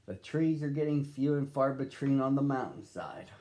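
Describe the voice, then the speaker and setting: A man's voice: medium pitch, lively intonation, moderate pace, mellow, voice pushed up, lightly acted. A man voicing a decisive, reassuring father bear from a children's storybook in a hearty, declarative way.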